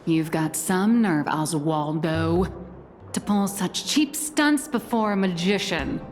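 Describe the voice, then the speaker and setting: A woman's voice: on the low side, expressive, slow pace. An actress playing a shrewd, playful, bossy female magician in a game, theatrical and knowing in her delivery. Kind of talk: game voice acting. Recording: reverb, faint music behind.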